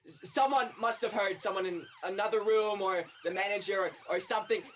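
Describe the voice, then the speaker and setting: A woman's voice: medium pitch, fairly quick, slight background noise, natural voice. A young woman on stage playing an earnest, insistent guide to the afterlife, probing and solemn, in a student one-act play. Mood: excited, urgent. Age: young adult.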